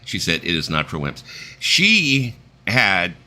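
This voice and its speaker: A man's voice: sits low, huge pitch swings, slow pace, bright, forceful tone, slight background noise. A man in his fifties hosting a casual stream, telling loose, rambling stories with some swearing. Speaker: stream host. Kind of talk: casual chat.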